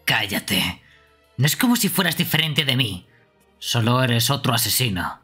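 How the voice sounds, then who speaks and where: Man acting a foul-mouthed killer, gruff manner, impatient, clouded voice, video game dub, music behind. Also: medium pitch, big pitch swings, a little slow, bright, forceful tone, exaggerated voice. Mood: scornful. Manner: gruff, swearing. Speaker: streamer dubbing a game. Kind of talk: fan game dubbing.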